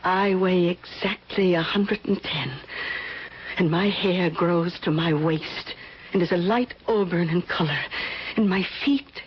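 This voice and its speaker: An actress playing a wealthy, aging female recluse lost in fantasy, speaking in a halting, dreamy, theatrical way in an old-time radio drama. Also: on the low side, slight background noise, natural voice. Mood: dreamy, rapt. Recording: dull, muffled vintage radio recording.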